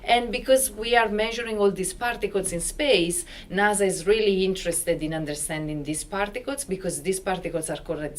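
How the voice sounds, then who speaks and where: A middle-aged Italian woman with a fairly low voice talks in accented English, lively and sometimes groping for the right word. She speaks into a handheld mic in a lecture room, with a faint hum of room noise behind her. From Italy. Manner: animated, searching for words, non-native phrasing. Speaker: physicist on a panel. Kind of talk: panel introduction. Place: university lecture room with audience.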